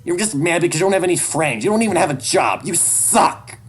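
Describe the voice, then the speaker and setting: A furious, wounded adolescent animal boy, acted out by a man for a story. The voice is pushed up high, defiant and pleading, with music behind it. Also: sing-song, moderate pace, slightly rough. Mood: hurt, furious. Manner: shouting, pleading, foul-mouthed.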